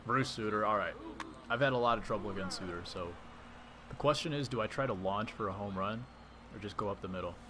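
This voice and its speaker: Low-voiced man chatting on a game stream. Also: quick pace, crowd noise. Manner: chatty, reads and answers chat while playing. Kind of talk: casual live-stream commentary.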